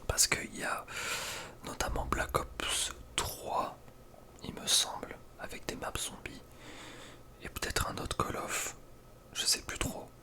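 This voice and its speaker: A young man speaks in a soft breathy whisper, giving casual, slangy ASMR gaming commentary with a hesitant delivery. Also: slow pace, pauses often. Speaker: ASMR video creator.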